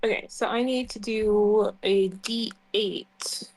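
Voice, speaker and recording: A woman's voice: mid-range voice, fairly even intonation, slow pace, darkish tone, poor audio. A woman chatting casually as she plays a tabletop roleplay game.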